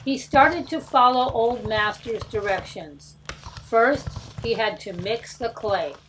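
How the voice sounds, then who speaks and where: A woman giving a dramatic, deliberate storybook narration. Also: on the high side, fairly bright tone, a little hiss or hum, rough recording. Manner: slow, deliberate reading. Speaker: storybook reader.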